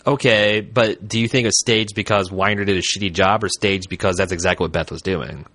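A man's voice: low voice, fairly even intonation, brisk, bright, forceful tone, no echo. A man co-hosting a podcast, animatedly picking apart a TV episode with analytical remarks and casual swearing. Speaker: podcast co-host.

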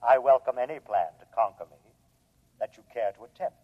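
An alien robot, played by a man, measured and formal, calmly menacing in every line. The voice sits at an ordinary male pitch on a thin, phone-like vintage radio drama recording. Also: natural voice. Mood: cold, assured. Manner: formal, measured, matter-of-fact. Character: cold, logical, menacing alien robot from another planet. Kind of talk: 1950s radio drama acting.

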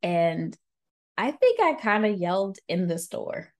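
Upbeat, teasing woman with lowish voice. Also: big pitch swings, a little slow. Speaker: podcast co-host. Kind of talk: casual chat.

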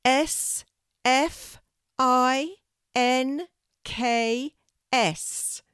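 A woman's voice: fairly low pitch, expressive, unhurried, darkish tone, no echo, studio quality. A woman voicing an eager, earnest young girl in a children's storybook, careful and a little unsure.

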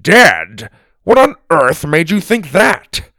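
Man acting a huffy, animated old grandpa. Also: fairly low pitch, slow pace, creaky, no echo, clean studio sound, full character voice. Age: older. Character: gruff huffy old grandfather, defensive and flustered.

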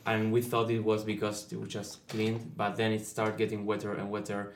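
Low-voiced mandolin guy from buenos aires, joking around, roomy sound. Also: monotone, normal speed, slight background noise. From Buenos Aires, Argentina. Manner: joking, playfully competitive. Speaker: band mandolin player. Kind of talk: casual interview answers.

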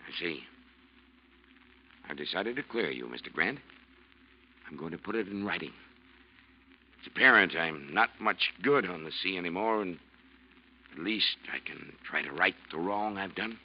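An actor playing a brooding, imperious sea captain with clipped, stiff authority in old-time radio drama style. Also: male voice, low voice, expressive, normal speed, pauses often, slight background noise. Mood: guarded, contrite. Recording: muffled old radio recording.

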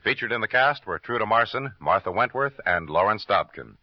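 A man's voice: fairly low pitch, lively intonation, a little fast, heavy background noise. An American man announcing in a formal, punchy, scripted 1950s network radio style. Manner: formal, punchy promotional delivery. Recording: dull old radio recording over loud music. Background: loud music.

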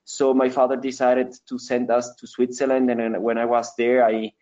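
A man's voice: mid-range voice, monotone, normal speed, mellow, dull audio. A man in his thirties from Ecuador, answering interview questions in English as a with many fillers and restarts. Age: 30s. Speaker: mountaineer guest.

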